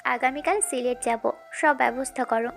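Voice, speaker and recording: A woman plays a watchful young boss lady in a romantic audio drama. She has a high voice and an authoritative, brisk, commanding way of talking. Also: creaky, faint music behind. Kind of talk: Bengali romantic audio story acting.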